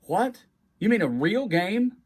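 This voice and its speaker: An eager young boy played by a man reading aloud, earnest and excitable. The pitch is raised above a grown man's usual talk, in a storybook style full of love for baseball. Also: slow pace, exaggerated voice. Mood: excited.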